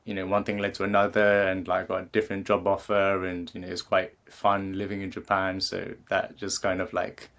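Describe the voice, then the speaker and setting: A man in his thirties with a British accent speaks in a very low voice. He talks loosely as an interview guest, stopping and restarting often, with plenty of fillers along the way. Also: monotone, a little fast, hardly any pauses, fairly bright tone. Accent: British English. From England. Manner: hesitant, many fillers and restarts. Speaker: guest, creativity coach. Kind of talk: casual interview conversation.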